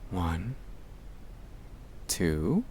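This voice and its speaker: Man, gentle ASMR guided breathing, soothing, half-whispered, unhurried long pauses, faint rumbly noise. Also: very animated. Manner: soft-spoken, soothing, often dropping into whispers. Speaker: ASMR creator guiding breathing exercises.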